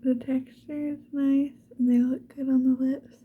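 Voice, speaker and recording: A woman speaking mostly in a breathy whisper, chatting casually to the viewer in an ASMR get-ready style. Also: sits high, level tone, unhurried, phone-quality sound, slight background noise. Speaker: ASMR video creator.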